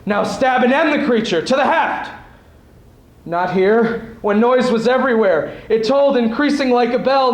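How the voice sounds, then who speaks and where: Young male, dramatic poetry recital, declamatory delivery, high pitch, echoey room. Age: young adult. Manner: theatrical, declamatory. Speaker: student speech competitor. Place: school event stage before an audience. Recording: echoey hall.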